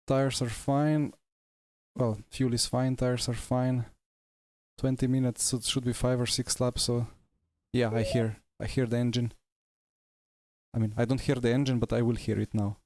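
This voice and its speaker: A man's voice: medium pitch, flat delivery, measured pace, long pauses, a little mellow, dry recording, clean studio sound. A man with a Slovenian accent streaming a sim race on a headset mic, talking to his viewers in short, broken-up bursts. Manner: talks to himself in short fragmented bursts. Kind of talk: live chat while sim racing. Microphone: wireless headset. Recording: game car noise underneath.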